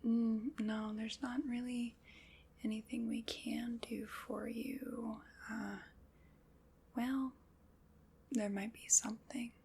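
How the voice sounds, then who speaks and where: A woman playing an ancient undead woman who commands a skeleton army, in a soft, dry, teasing whisper for an ASMR roleplay. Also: on the high side, flat delivery, slow, vocal fry, pauses often, a little hiss or hum.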